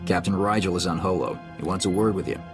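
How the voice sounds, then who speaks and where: A terse, practical military aide, voiced by a man in a sci-fi game cutscene. His low voice is curt and matter-of-fact, with music prominent behind it. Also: fairly even intonation, quick pace, close to an everyday voice. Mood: businesslike, cautioning. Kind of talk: scripted game cutscene acting.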